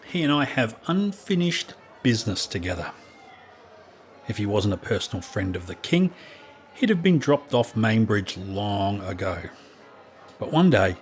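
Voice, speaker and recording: A man's voice: on the low side, slight background noise. A man acting a wary, menacing thief and gang leader with formal, guarded speech in a fantasy audio drama.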